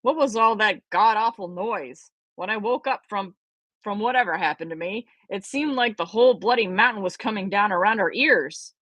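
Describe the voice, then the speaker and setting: A woman voicing a crabby, fussy old male professor with a raspy voice and a snappish, blustery manner. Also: a little high, moderate pace, fairly bright tone, no room sound. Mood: irritated.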